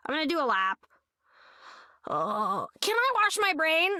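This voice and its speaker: A woman voicing a bubbly young heroine with squirrel friends, rambling excitably in a video game. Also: high voice, measured pace, no echo, studio quality, exaggerated voice.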